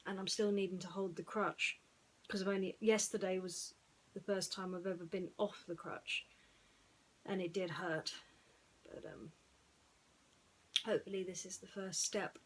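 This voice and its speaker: A woman's voice: mid-range voice, steady tone, unhurried, long pauses, a little hiss or hum, low-quality recording. Musing woman storyteller with mid-range voice. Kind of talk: personal chat and bedtime story reading.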